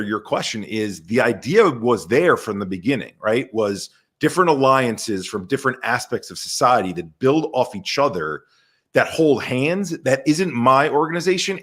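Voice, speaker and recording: A man talking as a podcast guest in an animated, energetic way over a video call. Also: mid-range voice, moderate pace, husky. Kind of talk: casual conversation.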